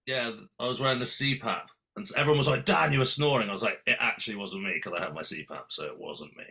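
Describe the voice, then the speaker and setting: A man hosting a casual podcast chat, with a soft, soothing voice, joking and rambling. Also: medium pitch, fast, gravelly. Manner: jokey, rambling, laughs often. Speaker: podcast host.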